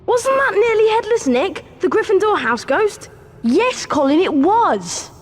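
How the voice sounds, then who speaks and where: A woman's voice: extremely high pitch, very animated, a little slow, heavily acted. A woman voicing a chirpy, eager young schoolboy with a British accent in a video game. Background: music.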